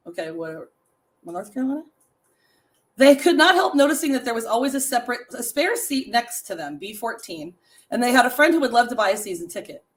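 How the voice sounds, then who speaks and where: Raspy-voiced woman hosting a chatty live stream. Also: on the high side, a bit bright. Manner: chatty, laughs often, reads facts and stories to viewers. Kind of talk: casual chatty live stream, reading trivia aloud.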